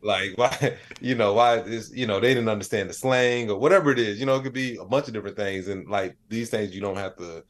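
A man's voice: fairly low pitch, fairly quick, few pauses, a little hiss or hum. A man speaking as a podcast guest in a casual, rambling, slangy conversational style. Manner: rambling, slangy, frequent "you know what I'm saying". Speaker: podcast guest, AI expert and comedian.

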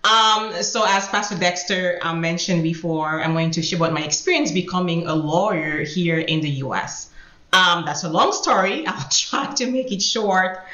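Woman, lower voice, echoey room. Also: bright, forceful tone. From Haiti. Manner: unhurried, reflective, laughs between phrases. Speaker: guest, attorney. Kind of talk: telling her life story in an interview. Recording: roomy echo, dull sound.

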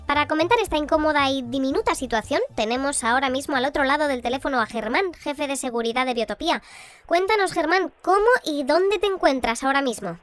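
Miniature radio presenter, really high pitched-up voice, measured and matter-of-fact, fiction podcast. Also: female voice, fairly bright tone, faint music behind. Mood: composed, inquiring. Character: composed radio host shrunk to half size, tiny high voice. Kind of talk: scripted fictional radio bulletin and interview.

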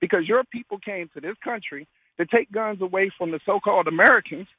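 A man calling in to a radio talk show, arguing forcefully and talking over the other speaker. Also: high voice, a little fast, husky. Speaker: caller. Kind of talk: heated radio debate. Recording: phone line.

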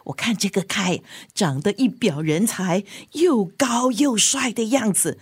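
A woman's voice: mid-range voice, expressive, unhurried, husky, no room sound. An actress playing a meddling, matchmaking older mother in a radio drama, fussy, insistent and prying, with a Singaporean accent.

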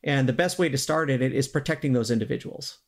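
A man's voice: mid-range voice, a little fast. A man speaking as an interview guest, explaining things in an earnest, conversational way. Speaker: podcast guest, synthetic voice company executive.

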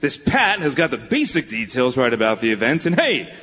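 A boyish rich boss, breezy, voice swoops high, big echoey hall. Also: male voice, big pitch swings, brisk, sharp tone. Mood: delighted. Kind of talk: live radio play acting. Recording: echoey hall, faint background noise.

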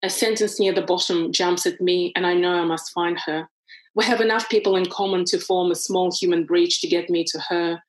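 A woman's voice: lowish voice, fairly even intonation, brisk, rough recording. Woman author with a heavy foreign accent. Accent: foreign accent in English. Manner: thoughtful, searching for words, frequent restarts. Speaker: author reading from her book. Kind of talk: reading aloud, then conversational interview.

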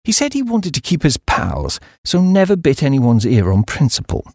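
A man's voice: mid-range voice, wildly swooping pitch, moderate pace, vocal fry, a little mellow, slightly rough, no room sound, clean studio sound. A man with an English accent reading a comic story as scripted audiobook narration.

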